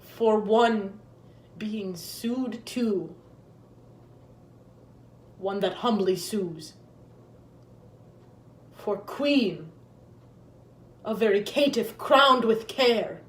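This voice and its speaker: Woman acting a grieving, vengeful queen, slowly declaiming. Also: mid-range voice. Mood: scornful. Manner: solemn, declamatory Shakespearean delivery. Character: grieving, vengeful deposed queen, bitter and cursing. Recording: echoey room.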